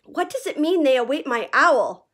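A woman's voice: sits high, sing-song, normal speed, a bit bright, pitched above the performer's own voice. A woman reading a storybook aloud, voicing a timid, bewildered young boy who stammers and hesitates. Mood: amazed. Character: bewildered eleven-year-old boy, timid and eager.

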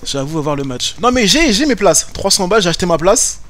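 A man's voice: sits high, very animated, quick pace, a bit bright. A young man streaming a game from home, chatting with viewers in slangy, joking banter. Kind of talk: casual gaming commentary. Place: home room, webcam.

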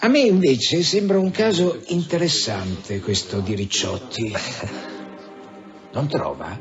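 An actor in an Italian radio drama playing a sardonic senior police official who questions in a measured, probing way. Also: male voice, mid-range voice, lo-fi sound, music in the background, close to an everyday voice. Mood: dry, insinuating.

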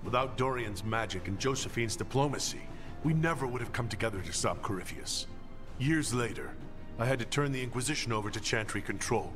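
An actor playing a seasoned war leader in a video game, earnest and deliberate, like an encouraging mentor. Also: male voice, mid-range voice, animated, close to an everyday voice. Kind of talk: video game cutscene acting. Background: game music.

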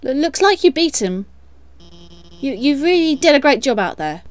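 A woman's voice: sits high, very animated, normal speed. A woman voicing a shy, nervous lizard scientist in a game, stammering and hesitant. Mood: nervous, encouraging. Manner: hesitant, self-doubting, earnest. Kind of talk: voiced game dialogue.

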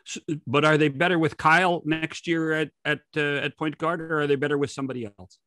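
A man's voice: on the high side, little pitch movement, a little fast, bright, forceful tone, dry recording. A man in his sixties co-hosting a sports podcast, putting probing questions to a guest close on a microphone. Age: 60s. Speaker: podcast co-host. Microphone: studio microphone close in front.

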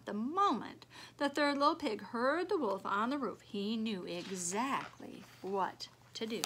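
A middle-aged woman reading a children's storybook aloud like a preschool teacher, expressive and emphatic, recorded in a room at home. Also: medium pitch, a little hiss or hum. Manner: expressive, emphatic, simple phrasing for small children. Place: small living room, indoors.

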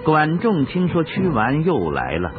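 A man's voice: a little high, huge pitch swings, a little slow. A man narrating a historical radio drama as a scripted, dramatic storyteller. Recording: dull recording with music behind. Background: music.

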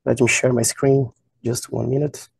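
A man's voice: mid-range voice, flat delivery, moderate pace, gravelly, husky, dry recording, low-quality recording. A Brazilian-accented man in his thirties, a native Portuguese speaker, presenting on a webinar video call in simple, non-fluent English. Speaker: agronomist presenter.

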